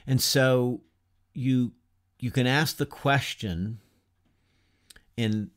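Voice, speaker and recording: A man in his fifties giving an informal class lecture from a home study, unhurried and conversational, asking rhetorical questions and using many fillers. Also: mid-range voice. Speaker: teacher of an adult church class. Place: home study lined with bookshelves, whiteboard beside him.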